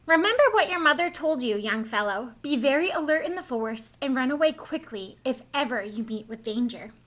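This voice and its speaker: Woman reading as a wise old owl, high-voiced. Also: lively intonation, brisk, fairly bright tone. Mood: earnest warning.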